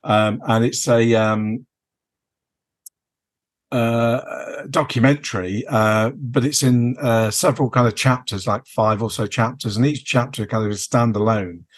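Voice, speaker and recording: A man's voice: mid-range voice, fairly even intonation, measured pace. A man chatting casually as a podcast co-host, hesitant and meandering as he searches for words. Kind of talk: casual chat about films.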